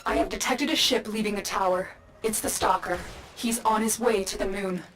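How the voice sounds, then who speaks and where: A commanding mission guide, played by a woman, delivers firm, composed instructions. Her voice sits at a middle pitch with a faint hiss of ambience behind it. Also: normal speed, a bit bright, lightly acted. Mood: urgent. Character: calm, commanding female mission guide, protective.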